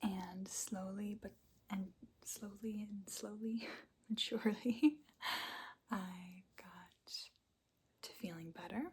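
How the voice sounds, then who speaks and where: Slow, breathy whispering woman. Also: medium pitch, steady tone. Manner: soft unvoiced whisper with small quiet laughs. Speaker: ASMR channel host. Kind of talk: whispered ASMR life-update chat.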